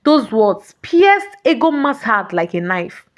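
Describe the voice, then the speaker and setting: Woman, folktale narrator, fairly high pitch, very lively intonation, dramatic scripted storytelling, deliberate pauses.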